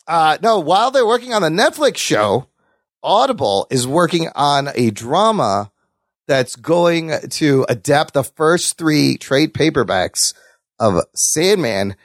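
A man hosting a podcast, chatting casually about news with co-hosts in an excitable, sweary way. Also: a little high, slow, no echo, clean studio sound. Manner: excitable, profane. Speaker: podcast host.

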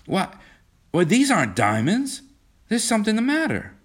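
A man's voice: low-pitched, animated, normal speed. A man voicing an earnest, romantic young man in a storybook reading. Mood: alarmed.

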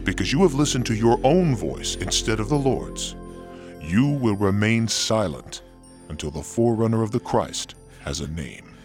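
A solemn angel messenger, acted by a man in a Bible audio drama. The voice is on the low side, measured and full of authority, with music clearly behind it. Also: expressive, close to an everyday voice. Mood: stern.

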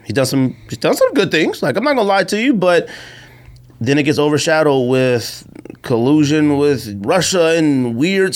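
An American man hosting a casual podcast, talking in blunt, informal slang and acting out imagined quotes as he gives his opinions. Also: fairly high pitch. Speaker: podcast host.

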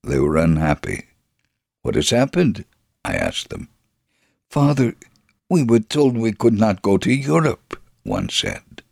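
Deep-voiced, earnest devout father. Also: wildly swooping pitch, measured pace, vocal fry, pauses often, a little mellow, breathy edge, dry recording, clean studio sound, heavily acted. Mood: calm, resolute. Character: devout, steadfast Israeli father telling his life story. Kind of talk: dramatic reading of a memoir.

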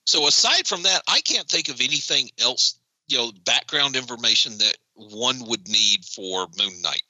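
A man co-hosting a casual podcast chat about a TV episode, animated and joking. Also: mid-range voice, normal speed, no echo, rough recording. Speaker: podcast co-host.

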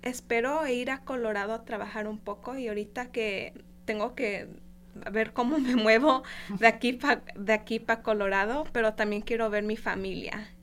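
A woman's voice: fairly high pitch, steady tone, moderate pace, a bit bright, a little hiss or hum. A young woman from California, a graduating student, answering interview questions in an earnest, casual way with frequent restarts. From California, of Guatemalan parents. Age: young adult.

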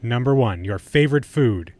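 Casual man hosting a quick-fire interview. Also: mid-range voice, animated, a little mellow, no room sound. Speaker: podcast co-host and interviewer.

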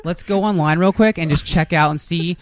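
A man's voice: a little high, fairly quick. A man hosting a podcast, chatting casually about movies in a bantering, profane way. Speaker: podcast host.

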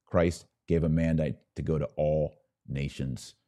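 A man hosting a religious podcast, speaking in earnest exhortation with deliberate repetition, like a preacher addressing his audience. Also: very deep voice, steady tone, warm tone.

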